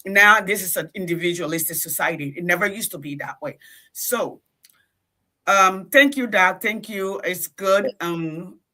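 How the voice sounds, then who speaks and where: Bright, forceful Liberian woman making points. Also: fairly low pitch, unhurried. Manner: emphatic, punctuates points with "okay?". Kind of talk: animated conversational commentary.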